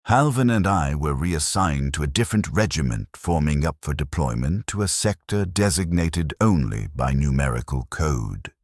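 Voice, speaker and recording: A man narrating a scripted first-person military story in a detached, measured, clinical manner. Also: rumbling low, animated, no echo, studio quality.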